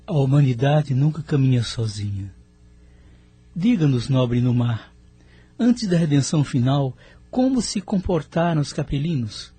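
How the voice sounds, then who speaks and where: A man playing a solemn, reverent spirit disciple with a husky voice in an audio drama. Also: medium pitch, animated, measured pace, mellow, no room sound, faint music behind.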